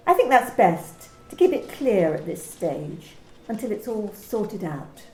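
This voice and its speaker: An amateur actress playing a formal, officious female workplace manager in a radio play, measured and precise. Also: medium pitch, sing-song, darkish tone, roomy sound, soft background music.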